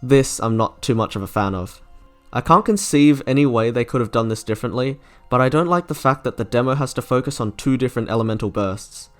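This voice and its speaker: A man's voice: mid-range voice, fairly quick. A man narrating a scripted video game review as an online reviewer. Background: faint music.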